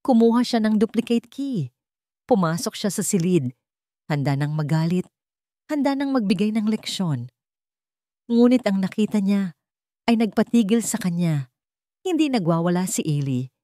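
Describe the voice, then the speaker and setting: A woman narrating a scripted dramatic story in a solemn, deliberate manner. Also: medium pitch, animated, dark tone, no echo, clean studio sound.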